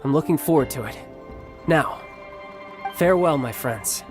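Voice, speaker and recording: A confident young warrior. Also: male voice, a little high, lively intonation, measured pace. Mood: friendly. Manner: easygoing, warm toward friends. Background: game music.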